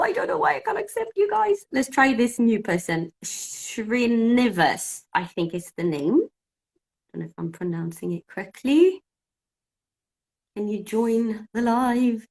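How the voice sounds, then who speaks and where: A fairly high-voiced woman from Cyprus, a native Greek speaker, teaching English on a phone live stream. She chats casually and talks through each technical hitch as it comes up. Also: vocal fry. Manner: chatty, thinking aloud while fixing technical trouble.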